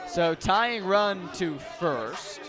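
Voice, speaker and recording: Animated high-voiced male baseball announcer. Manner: animated, dramatic pauses, swelling on big plays. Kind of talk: live radio sports commentary. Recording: crowd and music behind the commentary. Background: ballpark crowd and stadium music.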